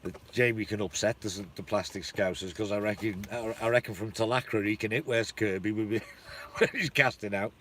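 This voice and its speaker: A man's voice: on the low side, a little fast, a bit bright, a little hiss or hum. A man with a North Welsh accent tinged with Shropshire, chatting casually and rambling on a live stream outdoors, swearing and chuckling as he goes. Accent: North Welsh with a hint of Shropshire. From North Wales.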